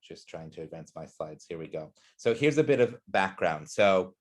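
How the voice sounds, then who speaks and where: A man with a fairly low voice leads an educational webinar. He explains concepts earnestly and poses questions to the listeners. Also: animated, moderate pace. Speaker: presenter.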